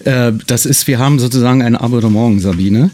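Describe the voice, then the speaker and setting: A male radio host speaks at a medium pitch in a studio. He chats informally and wanders into asides as he leads a casual interview. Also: fast talker, mellow. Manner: chatty, informal, rambling with asides.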